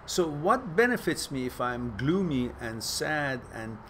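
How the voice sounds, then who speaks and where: A man's voice: mid-range voice, big pitch swings, background noise. Man, earnest live-chat host. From Iran. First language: Farsi. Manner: reflective, earnest, loose pauses and fillers, laughs easily. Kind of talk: casual live-stream chat and advice.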